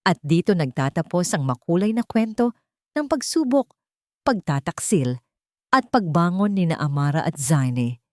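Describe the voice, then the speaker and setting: A woman narrating a scripted dramatic audiobook story with measured, dramatic phrasing. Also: lowish voice, big pitch swings, darkish tone, no room sound, clean studio sound.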